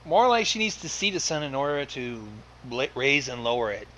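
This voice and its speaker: A man's voice: medium pitch, sing-song, moderate pace, a bit bright. A man giving casual, sarcastic commentary with some swearing, on a noisy home microphone. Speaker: guest commentator.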